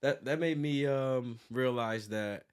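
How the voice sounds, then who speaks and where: A man's voice: mid-range voice, slow pace, clear, resonant tone. An American man in his thirties talking casually as an interview guest, rambling with lots of "like" and self-corrections. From United States, born in New York and raised in Virginia. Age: 30s. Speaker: interview guest, graphic designer and business owner.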